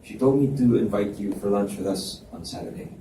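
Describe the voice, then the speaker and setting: A man's voice: lowish voice, fairly quick, mellow, lightly acted. A man reading aloud the part of a teasing, understated teenage boy in a story. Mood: friendly. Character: teasing teenage boy, injured athlete turned helpful friend. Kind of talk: live dramatic reading of a story. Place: indoor reading event at a library. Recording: echoey room, distant mic, faint noise.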